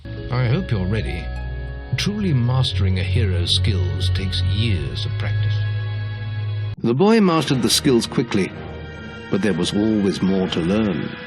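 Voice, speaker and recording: Fantasy game mentor: fatherly old master, low-voiced, solemn and instructive, strong music underneath. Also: sing-song, measured pace. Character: aged, fatherly male guild master and storyteller. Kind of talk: scripted fantasy game dialogue and narration.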